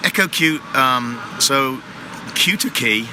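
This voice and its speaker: A man's voice: mid-range voice, animated, slow. A man, a manager at a water heater company, explaining at length in a casual interview with lots of fillers. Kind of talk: casual interview on a trade show floor. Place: busy trade show floor. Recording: noisy expo floor background.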